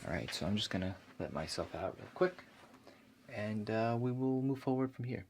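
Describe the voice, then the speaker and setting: Man, low-pitched voice, ASMR doctor roleplay, soft-spoken, hesitant reassuring questions, faint background noise. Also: moderate pace. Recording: steady audible background noise.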